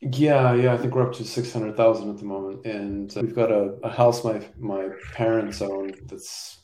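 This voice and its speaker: Low mellow male host with a friendly manner, recorded in an echoey room. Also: steady tone, normal speed. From Poland, raised in the US. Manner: friendly, responsive, many short acknowledgements. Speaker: podcast host. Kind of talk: conversational interview hosting. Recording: roomy echoing room sound.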